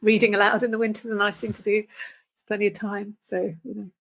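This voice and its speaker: Older woman with a husky, creaky voice. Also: medium pitch, little pitch movement, quick pace. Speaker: podcast guest. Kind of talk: reflective conversational talk.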